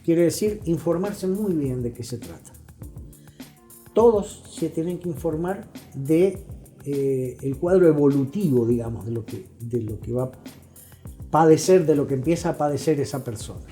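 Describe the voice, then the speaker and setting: Mellow Argentine man explaining, faint music behind. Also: on the high side, animated, normal speed. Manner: didactic, checks with short tag questions. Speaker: interview guest, psychologist. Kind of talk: explanatory conversational interview.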